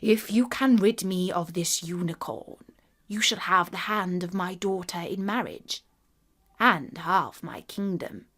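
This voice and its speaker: A woman voicing a stately male king in a storybook, measured and formal. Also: on the low side, fairly bright tone. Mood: solemn.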